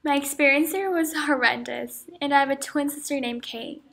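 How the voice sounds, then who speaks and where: A young woman plays a grave female camp survivor, reciting her story in a reading-like way. Her voice is high and bright. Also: normal speed, vocal fry. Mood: recounting. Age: young adult. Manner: recites a scripted account, reading-like. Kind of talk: amateur mock interview role-play.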